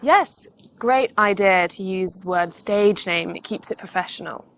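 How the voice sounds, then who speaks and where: A woman's voice: mid-range voice, measured pace, fairly bright tone. A woman giving conversational feedback as a co-presenter. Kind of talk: conversational commentary.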